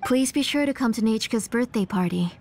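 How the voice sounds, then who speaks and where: A woman playing a gentle but strict, protective mother in a video game, speaking in a courteous, measured and firm way. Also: fairly high pitch, animated, slight background noise. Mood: courteous, composed. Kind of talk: game dub acting.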